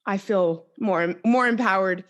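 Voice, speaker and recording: A woman's voice: mid-range voice, a little slow. A woman speaking as a coach and podcast guest, explaining her approach conversationally and thoughtfully.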